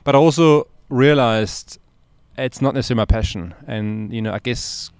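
A man from Austria in his forties, a podcast guest and entrepreneur, talking in a relaxed, hesitant way with many fillers. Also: medium pitch, normal speed, clean tone, dry recording, clean studio sound. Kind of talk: casual interview conversation.

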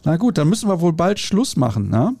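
A man's voice: a little high, big pitch swings, fairly quick, creaky, warm tone, breathy edge, no room sound. A man hosting a podcast in a studio, chatting and teasing his guest in a joking way. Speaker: podcast host. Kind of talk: casual chat.

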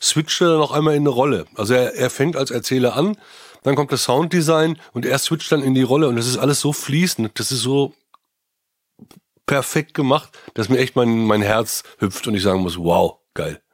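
A man's voice: mid-range voice, normal speed, no echo, clean studio sound. A man co-hosting a podcast, reviewing casually in a gushing, informal way. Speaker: podcast co-host.

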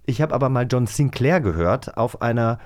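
A man's voice: medium pitch, animated, moderate pace. A man co-hosting a casual podcast, telling anecdotes in a colloquial, exclamatory way. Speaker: podcast co-host.

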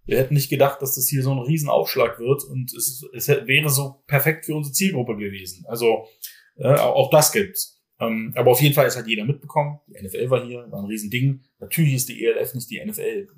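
A man's voice: medium pitch, quick pace. A man talks shop about running a football team in a casual podcast. His voice sits in the middle of the male range. He rambles, restarting sentences and leaning on fillers as he goes. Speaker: general manager of a football team.

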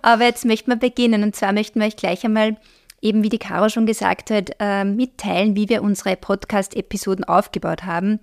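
A slightly hoarse woman co-hosting a podcast in a studio, speaking in a measured, friendly way. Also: medium pitch, fairly even intonation. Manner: measured, friendly, uses fillers. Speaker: podcast co-host. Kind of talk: casual podcast chat. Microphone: podcast microphone.

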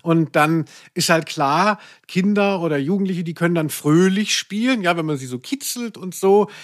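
A male podcast co-host chatting casually and humorously, with halting phrasing and wry asides. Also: sits high, dry recording, clean studio sound.

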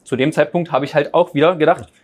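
A man's voice: a little high, fairly even intonation, quick pace. Rambling man with many restarts. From Berlin, Germany. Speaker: data scientist guest. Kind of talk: casual interview chat.

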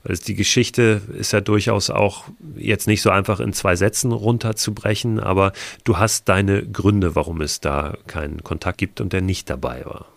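A man interviewing a guest, asking gentle, probing questions in a relaxed conversational style. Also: sits low, normal speed, gravelly, hardly any pauses, no room sound.

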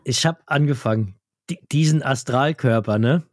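A man's voice: medium pitch, moderate pace, no echo, clean studio sound. A man co-hosting a casual podcast chat, telling self-mocking stories with a slight cold. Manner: joking, self-mocking storyteller. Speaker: podcast co-host.

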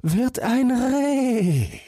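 An eerie magical spring from a storybook. It chants its warning very slowly in a high, breathy voice, stretching each word out. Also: male voice, big pitch swings, warm tone, no room sound, clean studio sound, exaggerated voice. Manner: slow, drawn-out, chanting. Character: enchanted murmuring spring, slow eerie warning voice.

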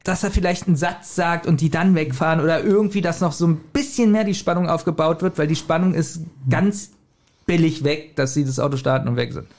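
A German man with a slightly high voice talks casually as a podcast co-host. He retells a story like an animated storyteller and often checks in with the others for their opinions. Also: a little fast. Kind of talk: casual podcast chat, retelling and reviewing an audio drama.

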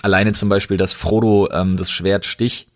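A male podcast co-host with a fairly low voice, recorded close and dry without room sound. He speaks informally, as in an easy chat with a friend. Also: level tone, moderate pace, dry recording.

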